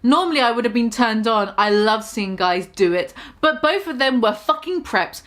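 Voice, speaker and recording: A woman's voice: a little high, expressive, moderate pace, fairly bright tone. A young woman giving a mock-dramatic reading of a fan story, swearing and breaking off to comment on the text. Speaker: reader.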